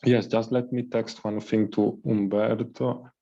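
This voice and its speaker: A man's voice: on the low side, little pitch movement, unhurried, vocal fry, warm tone. A man from Italy, moderating an online meeting in a hesitant, friendly way. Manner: hesitant, friendly, laughs lightly. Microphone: video call. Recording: slightly dull video call audio.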